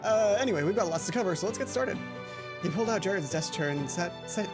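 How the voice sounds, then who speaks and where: A playful video game host reads visual novel text aloud and comments along the way. The delivery rambles and often breaks off to restart, at a middling pitch between low and high, with game music clearly playing underneath. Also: lively intonation, quick pace. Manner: rambling, self-interrupting, playful.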